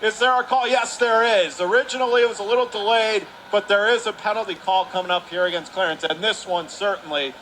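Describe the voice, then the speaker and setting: Male sports announcer, high-pitched, clipped and excitable hockey calls, light arena noise in the background. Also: brisk, few pauses, rough recording. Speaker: radio play-by-play announcer. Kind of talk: live ice hockey play-by-play commentary. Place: commentary position at an indoor ice rink.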